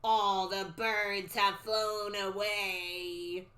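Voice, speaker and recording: A woman voicing a creepy, raspy old female soothsayer in a storybook, delivering ominous warnings in a drawn-out way. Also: medium pitch, little pitch movement, bright, forceful tone. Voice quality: raspy, hissing.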